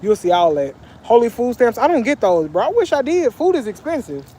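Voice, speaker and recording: A man's voice: extremely high pitch, big pitch swings, a little fast, warm tone, slight background noise. A young man from Texas chatting casually on a phone livestream by a pool, reading out comments in a loose, slangy way. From Texas, USA. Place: outdoors by a pool.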